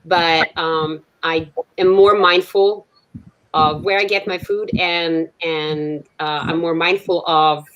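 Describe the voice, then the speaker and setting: A woman's voice: sits low, steady tone, takes it slowly, fairly bright tone, dull audio. A middle-aged woman from Serbia, a native Serbo-Croatian speaker, chatting as a singer-songwriter guest from her garage studio, hesitant with many fillers. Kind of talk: casual interview chat.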